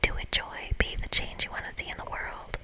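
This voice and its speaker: An ASMR reader voicing a boisterous, tough and goofy gamer friend in a whisper, excitable and slangy. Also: a little fast, close to an everyday voice. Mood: pumped up.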